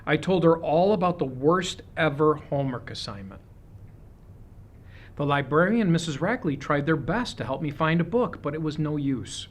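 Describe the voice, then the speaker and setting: A man with a fairly high voice reads a picture book aloud to young children. His phrasing is expressive and deliberate, in the manner of a storybook reader.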